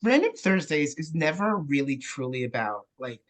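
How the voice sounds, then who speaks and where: Male, notably high voice, conversational and earnest, talks things through. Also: very animated, moderate pace. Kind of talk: casual conversation about theatre.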